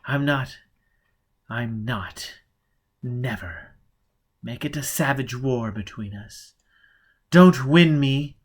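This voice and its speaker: A woman's voice: very deep voice, lively intonation, slow, long pauses, heavily acted. A man voicing an aloof, fierce aristocratic lady. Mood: fierce, intense. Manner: swings from hushed intensity to airy, gracious dismissal. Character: aloof aristocratic young woman, fierce under a gracious surface. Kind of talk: audiobook character voice.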